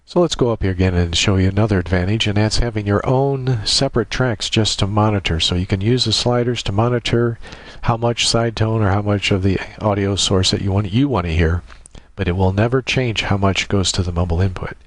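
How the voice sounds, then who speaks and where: Low-voiced man giving a software tutorial. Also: fairly quick, dry recording. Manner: methodical, explanatory, thinking aloud while demonstrating. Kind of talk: informal step-by-step screen tutorial narration.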